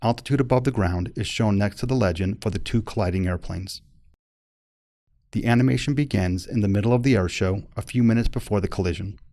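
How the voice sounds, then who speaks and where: A man narrating a formal accident report from a script in a measured, impersonal, factual manner, recorded close as a voice-over. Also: lowish voice, warm tone, dry recording.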